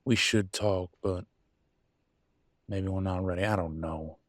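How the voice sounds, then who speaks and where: A man's voice: low voice, steady tone, measured pace, no room sound, clean studio sound. A man playing a proud, wounded werewolf husband and country vet in a roleplay audio drama, with a drawl and a folksy, bitter, raw way of talking. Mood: subdued, weary. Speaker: voice actor. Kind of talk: dramatic roleplay audio, one side of a couple's argument.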